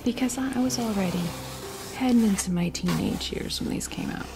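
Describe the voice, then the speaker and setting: An American woman speaking in a soft, breathy near-whisper, chatting casually and hesitantly in an ASMR style close to a sensitive microphone. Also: on the high side, moderate pace, over music. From United States. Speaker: ASMR video host. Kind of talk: ASMR, casual unboxing chat.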